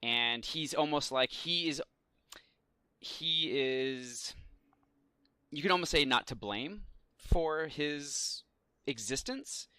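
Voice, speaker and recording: A man's voice: on the high side, takes it slowly, long pauses, bright, forceful tone, clear, resonant tone, no room sound, studio quality. A man co-hosting a podcast in casual chat, thinking aloud with some hesitation as he works out his opinions. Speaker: podcast co-host. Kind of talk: casual chat, pop culture discussion.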